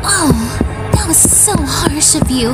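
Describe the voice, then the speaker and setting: A high-pitched yandere vampire woman, acted out by a female performer, sinister and possessive. She draws her words out slowly and tauntingly, as if hungry, in an ASMR audio drama with music behind her. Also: lively intonation, rough recording. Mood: mocking, menacing.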